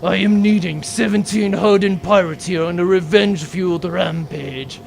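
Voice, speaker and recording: Loud, bombastic space pirate captain. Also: male voice, high-pitched, moderate pace, creaky, a little hiss or hum. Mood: boastful rage. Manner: bombastic, menacing, theatrical. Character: boastful, short-tempered male space pirate captain. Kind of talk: comic sci-fi audio drama acting.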